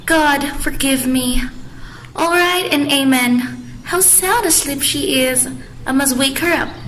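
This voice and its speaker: Fussy nurse for radio play, male actor as a woman, piercingly high and exclamatory, faint noise behind. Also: sharp tone, exaggerated voice, rough recording. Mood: teasing, coaxing. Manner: exclamatory, drawn-out calling. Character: fussy, teasing female nurse, loudly grieving in alarm. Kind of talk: amateur radio drama acting.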